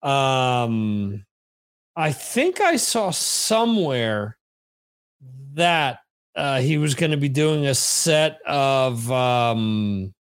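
A man hosting a podcast in casual chat, mostly short interjections, talking in a measured way. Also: medium pitch, very animated, clear, resonant tone. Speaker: podcast host.

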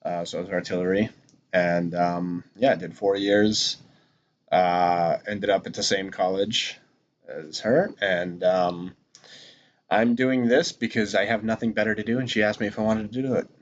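A man's voice: sits low, fairly even intonation, moderate pace, highs cut off, a little hiss or hum. A young man co-hosting a casual podcast, laid-back and agreeable with dry humor. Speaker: podcast co-host. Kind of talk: casual chat.

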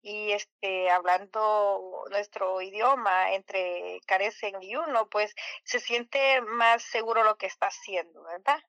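Salvadoran woman, middle-aged, radio guest; slow, halting delivery with lots of fillers, bright tone, slightly muffled line. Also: medium pitch, fairly even intonation, no echo. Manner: hesitant, many fillers. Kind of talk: casual radio interview.

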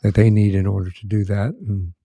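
A man's voice: lowish voice, level tone, fairly quick, vocal fry, warm tone, slight background noise. A middle-aged man hosting a podcast interview, friendly and rambling, often restarting his sentences. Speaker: podcast host. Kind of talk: conversational interview.

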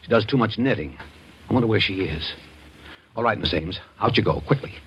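An actor playing a shrewd, wry, take-charge investigator in a 1940s radio thriller, on a muffled, noisy old recording. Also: male voice, fairly low pitch, lively intonation, natural voice. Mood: hushed urgency. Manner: measured, wry, directing others.

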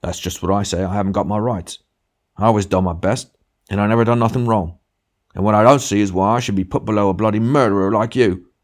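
Man voicing a blustering, indignant working-class ghost, raised pitch. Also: quick pace, natural voice. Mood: aggrieved. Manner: blustering, argumentative, punctuating with demands. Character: big sulky, indignant, working-class male ghost, self-righteous grumbler. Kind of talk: literary audiobook character dialogue.

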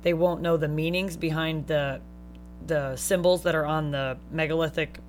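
A woman's voice: low-pitched, fairly even intonation, normal speed, a little mellow. Woman, podcast co-host, casual chat, joking asides, reads notes aloud, faint music behind.

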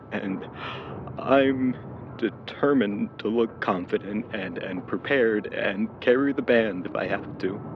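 A man acting in an audio drama as a lovestruck young man, awkwardly tender and teasing, with a halting, hesitant way of talking. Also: on the low side, lo-fi sound, a little hiss or hum.

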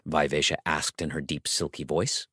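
Male audiobook narrator, deep pitch, highly expressive, wry theatrical comic storytelling, savored phrases, dry close recording. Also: moderate pace, slightly rough, no echo.

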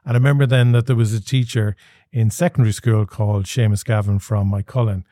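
A man's voice: on the low side, steady tone, a little fast, dark tone, no echo, clean studio sound. An Irish man in his forties, hosting and talking reflectively, with many restarts and fillers. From Ireland. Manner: thoughtful, with frequent restarts and fillers. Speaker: podcast host. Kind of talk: reflective conversational storytelling.

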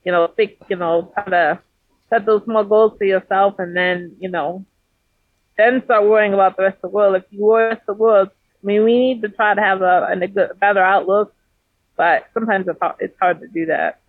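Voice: medium pitch, steady tone, a little fast, no echo. A guest in a casual podcast conversation who speaks earnestly and encouragingly, with many fillers, heard over a call. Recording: tinny phone line, dry.

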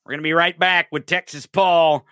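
A man's voice: on the high side, fairly even intonation, brisk, pushed and bright, smooth, dry recording, clean studio sound. A man hosting a podcast, chatting and joking casually. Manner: joking, upbeat. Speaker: podcast host.

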